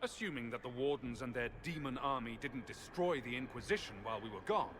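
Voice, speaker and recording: A man voicing a sharp, sardonic mage. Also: mid-range voice, expressive, a little fast, natural voice. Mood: arguing. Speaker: mage companion. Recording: game audio with ambient noise.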